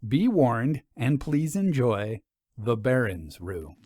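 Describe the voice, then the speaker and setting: A man's voice: mid-range voice, sing-song, slow, mellow. A man hosting and narrating a story in a scripted, dramatic storytelling style. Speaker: host and storyteller.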